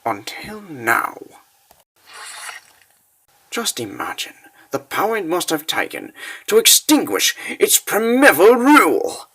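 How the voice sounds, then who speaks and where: A man narrating a dark fantasy story in a theatrical, ominous style with deliberate phrasing. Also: high-pitched, huge pitch swings, slightly rough. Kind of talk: dramatic scripted fantasy narration.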